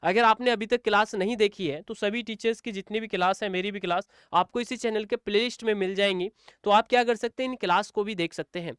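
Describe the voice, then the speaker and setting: A man's voice: sits high, quick pace, fairly bright tone, clean tone, no room sound, studio quality. A man teaching students in an informal walkthrough, repeating points and answering his own questions. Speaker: exam coaching teacher.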